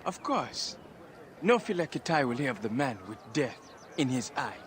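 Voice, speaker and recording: A man's voice: mid-range voice, sing-song, normal speed, fairly bright tone, a little hiss or hum. An actor playing a sly, dramatic man of ancient Egypt in a video game cutscene. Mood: sly, taunting.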